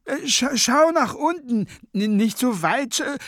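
A man voicing a flustered, exasperated zombie head in a jar, stammering and halting as he speaks. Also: extremely high pitch, expressive, fairly bright tone, no echo, studio quality, pitched above the performer's own voice, full character voice.

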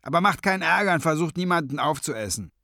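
Audiobook role: male reader as scornful wizard gatekeeper, blunt, sardonic, slightly raised, animated delivery. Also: a little high, fast talker, no echo, studio quality, pitched above the performer's own voice. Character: disgusted, brusque male sorcerer on guard.

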